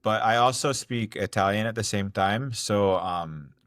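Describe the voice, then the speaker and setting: A man's voice: fairly low pitch, a little slow, a bit bright, dry recording, clean studio sound. A young man from Ohio, a podcast guest talking casually with lots of fillers and restarts. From Ohio, United States. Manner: rambling, many fillers and restarts. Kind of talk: casual interview talk.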